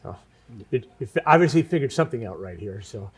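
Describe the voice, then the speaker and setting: A man with a medium-pitched voice works as a local TV interviewer. He speaks conversationally as he puts questions to his guest. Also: expressive, quick pace, breathy edge.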